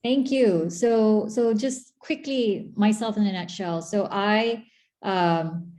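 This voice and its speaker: A woman's voice: medium pitch, slow, warm tone. A middle-aged Malaysian woman answering interview questions in a chatty, talkative way, with frequent restarts and fillers. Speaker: guest, former tech agency chief.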